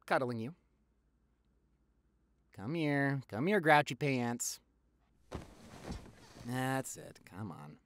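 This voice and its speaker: Animated, gossipy young boyfriend acted by a man. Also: mid-range voice, drawn-out words, long pauses. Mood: teasing, affectionate. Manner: theatrical, exaggerated, teasing, chatty. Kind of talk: boyfriend audio roleplay, acted monologue.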